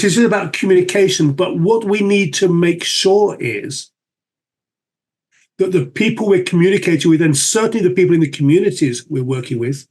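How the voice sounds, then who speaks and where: A man's voice: fairly high pitch, a little mellow. A man moderating a panel discussion, enthusiastic and fluent, repeating words for emphasis.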